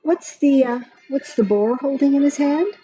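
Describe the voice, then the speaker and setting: A woman reading a children's picture book aloud in an expressive, sing-song way, adding chatty asides about the pictures. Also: high-pitched, normal speed. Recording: slightly dull lo-fi, music behind. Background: music.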